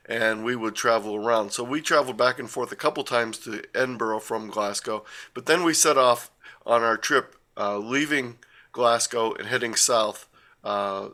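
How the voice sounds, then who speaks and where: A man's voice: mid-range voice, pushed and bright. An older man from the United States giving a casual talk with slides, rambling, with many fillers and self-corrections. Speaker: guest presenter.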